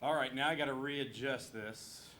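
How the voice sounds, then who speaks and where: A man's voice: medium pitch, normal speed. A man moderating a live event, announcing casually in an informal, folksy way. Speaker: forum moderator. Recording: noisy live event recording.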